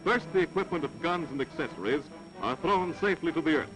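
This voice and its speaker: A male newsreel announcer from the United States, his voice pitched somewhat high and driven with stirring emphasis. He reads a patriotic script in vintage style. Loud music runs under him throughout the old recording. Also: expressive, fast, poor audio. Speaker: newsreel narrator.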